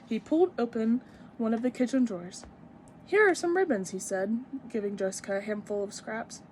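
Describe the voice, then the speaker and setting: A woman's voice: on the high side, steady tone, brisk, warm tone, slight background noise, low-quality recording. A woman in her twenties reading a picture book aloud expressively for young children, with teacherly emphasis and asides. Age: 20s.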